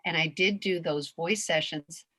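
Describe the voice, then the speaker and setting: A Canadian woman, a voice actress, chatting in an animated, rambling way over a video call as a Q&A guest. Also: sits low, unhurried, no echo. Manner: animated, rambling, with frequent restarts. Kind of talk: casual chat in a video call Q&A.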